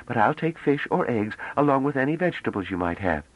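A man reading a novel aloud, voicing a chatty, naive young woman who talks rapidly and almost breathlessly. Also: medium pitch, sing-song, pitched above the performer's own voice. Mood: eager. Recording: dull lo-fi dry audio.

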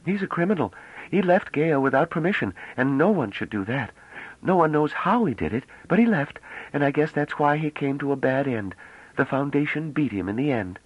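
A man reading a novel aloud, voicing a chatty, naive young woman who talks rapidly and almost breathlessly. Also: on the high side, animated, husky, dry recording, voice pushed up. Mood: eager. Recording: dull lo-fi dry audio.

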